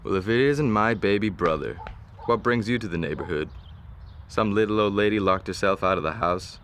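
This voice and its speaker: A deep, bright-toned man in trouble, as an actor plays him, blustering and dodging questions. His voice sits low but carries a forceful edge, with a faint hiss behind it. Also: brisk, close to an everyday voice. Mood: jovial. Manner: blustering, then pleading. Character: laid-off man in debt, evasive, desperate. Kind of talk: amateur film voice acting.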